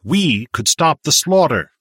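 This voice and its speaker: A man's voice: on the high side, sing-song, measured pace, fairly bright tone, husky, no room sound, pitched above the performer's own voice, exaggerated voice. A man voicing a bitter, indignant businessman in an audiobook, earnest and insistent. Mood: bitter, urgent. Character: bitter, indignant businessman son of Polish parents.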